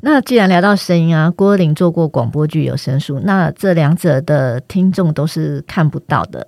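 A woman's voice: low-pitched, dark tone. Woman, Taiwanese Mandarin accent, podcast host, inquiring, conversational questioning, dry recording without echo. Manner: inquiring, posing multi-part questions. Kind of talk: conversational interviewing.

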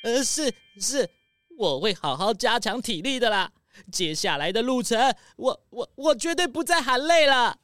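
A woman's voice: fairly high pitch, animated, normal speed, fairly bright tone. Woman as a theatrical, swooping animal hiker. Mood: sheepish. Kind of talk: children's mystery audio drama.

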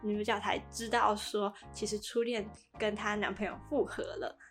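A woman's voice: medium pitch, little pitch movement, normal speed, a bit bright, soft background music. A woman co-hosting a podcast, telling things in a chatty, informal way. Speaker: podcast co-host. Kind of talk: casual podcast chat.

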